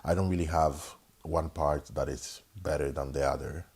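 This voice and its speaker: A man's voice: extremely low pitch, steady tone, normal speed, a little mellow, slightly rough. A man in his thirties from Italy with an Italian accent, co-hosting a podcast and talking in a frank, reflective way with lots of fillers. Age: 30s. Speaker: podcast co-host. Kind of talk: casual conversation.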